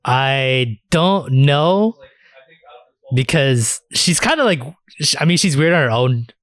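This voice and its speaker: A man's voice: medium pitch, animated, slow, a bit bright, no room sound. A man co-hosting a podcast, chatting informally and crudely about anime with plenty of swearing. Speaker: podcast co-host. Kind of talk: casual chat about anime.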